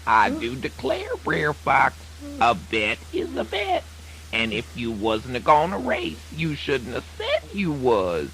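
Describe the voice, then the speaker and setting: A man's voice: medium pitch, wildly swooping pitch, takes it slowly, over music, exaggerated voice, poor audio. A man voicing a tiny, cocky, wily cricket in a children's radio folk tale, folksy and self-assured with a Southern US accent. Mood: smug.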